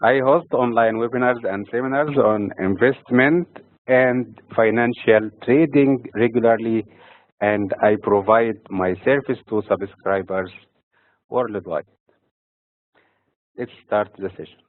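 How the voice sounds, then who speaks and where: A man from Egypt hosting a weekly financial market update, reading dates and figures in a steady, measured way. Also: mid-range voice. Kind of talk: scripted market commentary. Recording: dry, phone-like narrow sound.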